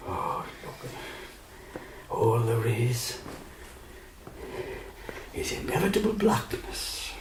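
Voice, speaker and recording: An actor playing a dying, bitter father in a radio drama, halting and weary, with a weak, breathy voice. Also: mid-range voice, very animated, full character voice. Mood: despairing. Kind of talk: naturalistic radio drama acting. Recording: roomy, distant, with clear background noise.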